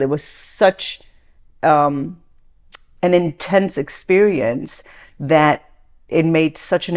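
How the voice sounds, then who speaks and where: A woman in her forties, born in Iran, speaking earnestly and reflectively as an interview guest, with frequent restarts and fillers. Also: low-pitched.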